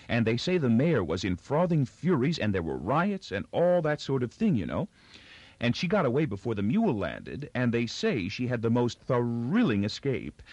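A man voicing a bright-eyed, gossipy young female accountant in a storybook, gushing in eager chatter. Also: mid-range voice, dry recording, lo-fi sound, voice pushed up.

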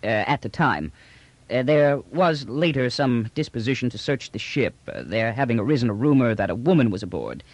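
A man's voice: mid-range voice, sing-song, moderate pace, dry recording. Audiobook role: nervous lieutenant reporting to a superior, done by a man, stiff formality, hesitant, stumbling on uhs. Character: stiff, apprehensive male military lieutenant reporting. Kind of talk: audiobook character dialogue.

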